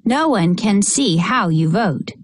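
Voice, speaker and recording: A woman reading a children's picture book aloud in a measured, expressive way, narrating and acting out short bits of dialogue. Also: mid-range voice, no echo, studio quality.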